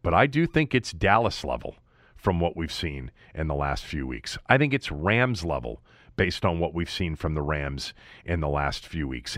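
A man with a low-pitched voice talks as a sports show host. He leans on key words and stretches them for emphasis. The sound is dry, with very little room on it. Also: a bit bright, no room sound. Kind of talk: sports commentary with ad read.

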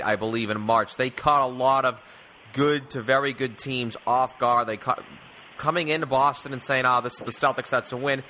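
Bright, forward male sports podcast host. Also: mid-range voice, slight background noise. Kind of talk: conversational sports discussion.

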